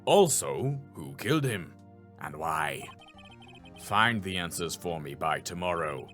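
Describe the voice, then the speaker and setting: Man putting on a deep, ponderous old courtroom judge. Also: big pitch swings, slow pace, a bit bright, soft background music, exaggerated voice. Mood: stern. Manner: formal, ponderous, authoritative. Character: stern, slow-witted old male trial judge.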